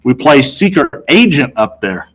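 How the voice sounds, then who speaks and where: A man reading a children's novel aloud in an expressive, conversational way, telling it as a boy in the first person. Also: mid-range voice, unhurried. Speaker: storybook reader.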